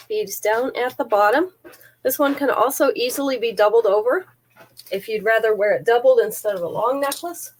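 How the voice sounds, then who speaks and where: Woman, slightly high voice, stuffy nose, chatty live seller, detailed descriptions, close camera mic. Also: darkish tone. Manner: chatty, careful item-by-item descriptions.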